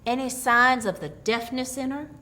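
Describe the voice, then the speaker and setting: A woman's voice: high-pitched, normal speed, bright, forceful tone. Woman acting a plain-spoken rustic man, noisy recording. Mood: low, confiding. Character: plain-spoken rural working men.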